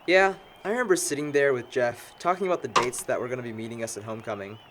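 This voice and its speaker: A man's voice: mid-range voice, quick pace, background noise, lightly acted. A man playing a sarcastic, needling young man among longtime friends in an amateur audio drama. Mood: reminiscing.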